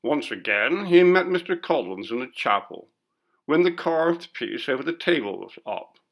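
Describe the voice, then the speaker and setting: A man's voice: medium pitch, big pitch swings, moderate pace, vocal fry. A man voicing a pompous, curt college bursar in a ghost story reading, with a disapproving manner. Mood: indignant.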